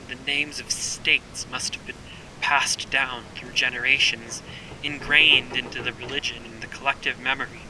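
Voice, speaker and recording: A man performs an earnest adventurer telling his own story for audio fiction. The voice is middle-pitched with a forceful, bright edge, and the delivery is measured and dramatic. A faint rumble runs underneath. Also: poor audio. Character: earnest male adventurer recounting his journey. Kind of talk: first-person narration in audio fiction.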